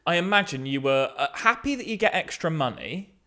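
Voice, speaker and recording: A man's voice: fairly high pitch, animated, a little fast, pushed and bright. Self-deprecating male comedian in his thirties. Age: 30s. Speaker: comedian co-hosting a podcast. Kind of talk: casual comic banter.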